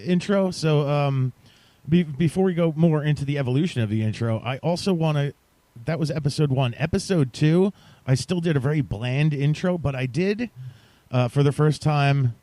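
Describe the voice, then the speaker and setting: A man's voice: a little high, warm tone, dry recording. Chatty man hosting a podcast, dry sound. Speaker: podcast host. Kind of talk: casual podcast chat addressed to listeners.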